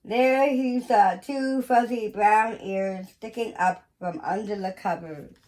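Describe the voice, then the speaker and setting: A woman reading a children's picture book aloud in an expressive, deliberate storytelling manner with pauses. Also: mid-range voice. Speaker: museum educator reading aloud.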